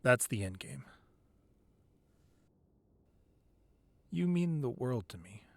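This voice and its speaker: A man playing a weary but devoted, protective crime boss boyfriend in an intimate ASMR roleplay, speaking softly and close, at times breathy. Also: mid-range voice, very animated, moderate pace, dry recording. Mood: devoted, hopeful. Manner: soft, intimate, drifting into breathy whispers.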